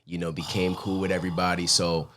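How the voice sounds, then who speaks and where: A man's voice: deep voice, little pitch movement, fast, a bit bright, a little hiss or hum. An American man from Philadelphia talking casually and reflectively as a guest in a studio interview. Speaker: guest, former college athlete and mentor. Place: podcast studio.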